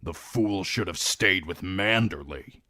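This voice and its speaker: A man's voice: low voice, slow pace, bright, forceful tone, no echo, clean studio sound, heavily acted. A man voicing a brutish young lord who growls and complains. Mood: sneering.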